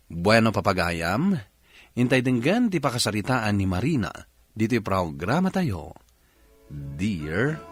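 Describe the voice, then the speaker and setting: A man's voice: on the low side, breathy edge, no echo. A man with an Ilocano accent giving scripted narration as the narrator of a radio drama. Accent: Filipino Ilocano.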